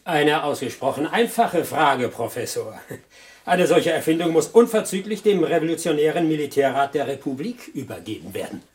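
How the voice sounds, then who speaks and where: Casting: menacing, over-polite military loyalist, radio drama, fairly high voice, a little off-mic. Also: male voice, sing-song, normal speed, darkish tone, recorded from a distance. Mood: effusive, probing. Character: suave, menacing Soviet fighter squadron commander, zealous loyalist. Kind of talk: German radio drama acting.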